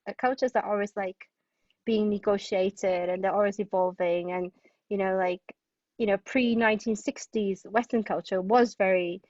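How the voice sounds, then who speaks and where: A woman's voice: mid-range voice, a little mellow, no echo. Distant, muffled woman, enthusiastic and digressive. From Hong Kong. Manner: enthusiastic, digressive, peppered with "like" and "you know". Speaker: guest playwright. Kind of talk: casual literary discussion. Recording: distant, dull lo-fi sound.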